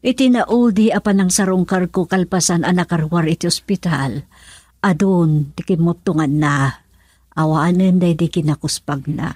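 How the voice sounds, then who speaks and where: An actress playing a concerned older mother in a radio drama, husky, tender and plaintive. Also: lowish voice, moderate pace, darkish tone, no room sound, studio quality, lightly acted. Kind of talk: Ilocano radio drama acting.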